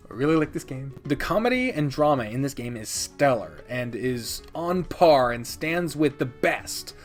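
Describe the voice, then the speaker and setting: Man, medium pitch, enthusiastic video host, casual commentary, dramatic emphasis, playful asides, occasional swearing, faint background music. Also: big pitch swings, normal speed. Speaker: host of a game video channel. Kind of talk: casual, enthusiastic top-ten countdown commentary.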